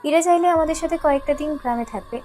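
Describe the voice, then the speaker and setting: A woman's voice: sits high, rapid-fire, a little mellow, close to an everyday voice. A woman playing an agreeable young family member in an audio story. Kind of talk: acted audio story dialogue. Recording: background music throughout.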